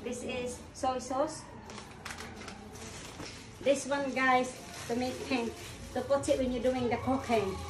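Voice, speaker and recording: Brief: Filipino-accented woman vlogger, forties, high voice, chatty and loose, recorded from a few steps away on a phone. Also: flat delivery, slow pace, pauses often. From Philippines. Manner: chatty, says "guys" constantly, simple loose phrasing. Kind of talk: casual haul vlog. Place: small pink-walled room indoors. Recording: echoey room, distant mic, noisy.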